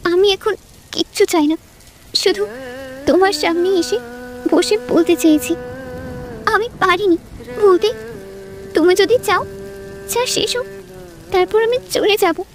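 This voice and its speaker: Actress as young woman, very high pitch, wistful, confessional, audio drama, faint music. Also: measured pace, long pauses. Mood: regretful. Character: wistful young woman, regretful former lover. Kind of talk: audio story acting. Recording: music behind the voice.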